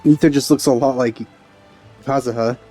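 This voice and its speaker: A man's voice: medium pitch, fairly even intonation, normal speed, warm tone, faint music behind. A man giving casual let's play commentary in a dry, laid-back way.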